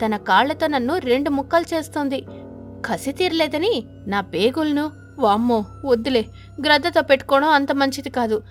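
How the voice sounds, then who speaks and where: A woman voicing a frightened, evasive crow husband in an animated children's story, nervous and earnest. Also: sits high, moderate pace, faint music behind, voice pushed up, natural voice. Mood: panicky.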